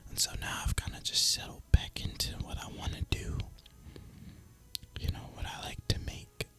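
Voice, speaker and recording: Male ASMR gaming creator: breathy whisper throughout, crisp sibilant edge, relaxed and rambling delivery. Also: moderate pace. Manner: relaxed, rambling, casual and reflective. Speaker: video creator and gamer.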